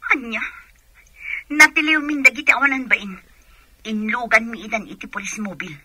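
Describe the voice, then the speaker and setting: An actress playing a brisk, capable policewoman in a radio drama, with an Ilocano accent. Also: fairly high pitch, bright, forceful tone, breathy edge, dry recording, heavily acted. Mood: alarmed. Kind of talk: Filipino radio drama acting.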